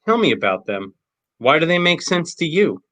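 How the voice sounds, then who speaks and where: A man's voice: fairly high pitch, sing-song, moderate pace, bright, forceful tone, no echo. A man reading a skeptical male detective who questions a suspect in blunt, probing lines.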